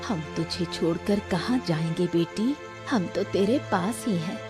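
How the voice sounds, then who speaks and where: A woman voicing an anxious, protective wife and mother in a cartoon story, urgent and expressive. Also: on the low side, unhurried, music in the background, lightly acted. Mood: worried, tender. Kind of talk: animated moral story dramatization.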